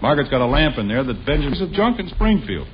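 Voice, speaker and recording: A man's voice: a little high, very animated, quick pace, over music. An American actor playing a middle-aged, put-upon husband and father in a 1950s radio sitcom, with a dry, wry delivery. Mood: grumbling. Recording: dull old radio recording.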